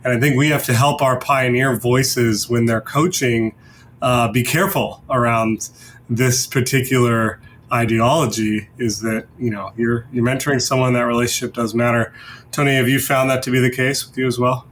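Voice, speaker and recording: A man's voice: mid-range voice, steady tone, normal speed, fairly bright tone. A man hosting a casual business discussion, putting questions to his guests. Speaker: host of a business discussion series. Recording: noticeable background noise.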